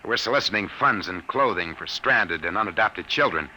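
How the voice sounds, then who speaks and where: An actor playing a nervous, hesitant private detective in an old-time radio drama. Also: male voice, on the low side, expressive, moderate pace, sharp tone. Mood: polite, uneasy. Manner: hesitant, stammering. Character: nervous, desperate male private detective, drugged and pleading. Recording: hissy, noisy old radio broadcast.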